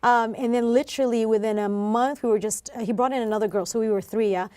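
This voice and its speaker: A woman's voice: fairly high pitch, steady tone, quick pace, warm tone, no room sound. Chatty woman in a close, dry recording. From Philippines and New Zealand. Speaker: interview guest, singer and worship director. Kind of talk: casual personal storytelling.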